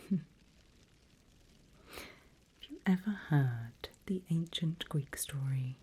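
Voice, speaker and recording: A woman playing a seasoned demon mentor in a whispered ASMR roleplay, breathy and deliberate, playfully sinister and teasing. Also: sits low, lively intonation, dark tone, full character voice. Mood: ominous storytelling.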